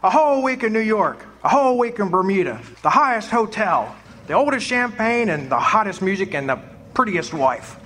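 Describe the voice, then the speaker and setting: A man's voice: sits high, sing-song, normal speed, bright, forceful tone, a little hiss or hum, full character voice. An actor playing a flustered young small-town man who stammers and blusters, in a live amateur radio drama. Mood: elated.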